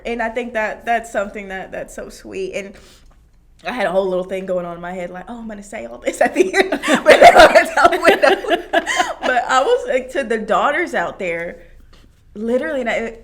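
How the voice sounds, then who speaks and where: A woman hosting a heartfelt, faith-based conversation, speaking in an animated, emphatic way and quick to laugh. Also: fairly high pitch. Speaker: host interviewing her mother.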